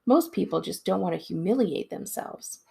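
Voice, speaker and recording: A woman, a school librarian, giving an expressive read-aloud of a storybook in a narrator's style. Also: on the low side, moderate pace, vocal fry, breathy edge.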